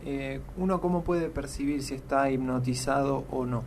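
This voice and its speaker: A man's voice: mid-range voice, moderate pace, a little mellow. A male interviewer with a mid-range voice who asks brief questions and hesitates a little as he phrases them. Faint background hiss runs under his voice.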